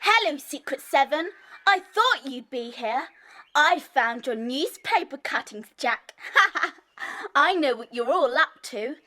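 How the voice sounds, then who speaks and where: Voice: sits high, expressive, takes it slowly, long pauses, sharp tone, heavily acted. A performer playing a cheeky, nosy British little sister in an audio drama, with a teasing sing-song delivery. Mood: gloating. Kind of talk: children's audio drama acting.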